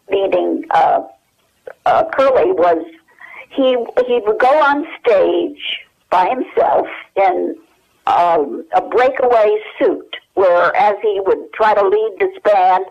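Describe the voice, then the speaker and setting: A woman's voice: medium pitch, gravelly. Older woman reminiscing over the telephone. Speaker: interview guest. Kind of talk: anecdotal reminiscence in an interview. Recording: dull telephone line.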